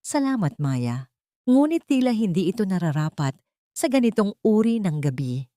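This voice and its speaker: A woman's voice: medium pitch, very animated, moderate pace, warm tone, dry recording, studio quality, lightly acted. A woman voicing a haughty, scornful, rich older mother-in-law in a grand, mocking manner for an audio story.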